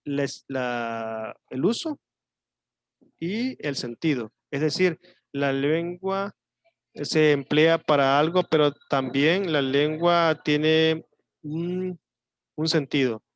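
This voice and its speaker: A man teaching an online class in coastal Mexican Spanish, his voice sitting a bit high for a man. He explains patiently, thinking aloud with little fillers and pauses. The sound is dry and close, with no room around it. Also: no echo.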